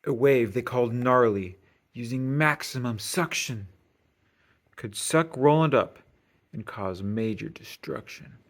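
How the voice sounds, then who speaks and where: Male storybook reader, mid-range voice, expressive sing-song delivery for young listeners. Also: mid-range voice, measured pace. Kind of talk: expressive children's read-aloud narration.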